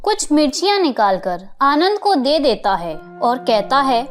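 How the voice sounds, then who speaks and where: ऊँची, उतार-चढ़ाव वाली आवाज़ की महिला कथावाचक. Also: female voice, high-pitched, sing-song, a little slow, soft background music. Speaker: narrator. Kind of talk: scripted children's story narration.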